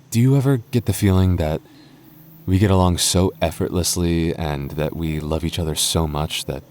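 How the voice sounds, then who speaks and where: A man playing a protective, tender young goth boyfriend in a roleplay audio drama, talking haltingly and intimately to his partner. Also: low voice, a little mellow, natural voice. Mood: wondering, tender. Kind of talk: boyfriend ASMR roleplay, acted conversationally to the listener.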